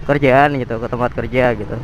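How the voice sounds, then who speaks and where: A man's voice: medium pitch, steady tone, fast. A young man, chatty and slangy, narrating a vlog while riding, his voice nasal and congested from a cold. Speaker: motovlogger. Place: on a motorcycle in city traffic. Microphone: helmet or action cam mic. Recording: wind and traffic noise.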